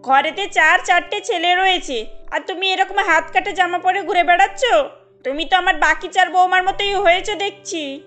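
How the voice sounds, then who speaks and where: A woman voicing a scolding, old-fashioned mother-in-law in an animated family story, indignant and lamenting. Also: extremely high pitch, normal speed, faint music behind.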